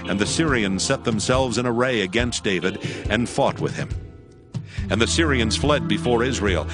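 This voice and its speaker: A man narrating scripture from a script in a formal, measured, solemn manner. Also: medium pitch. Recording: music behind the voice.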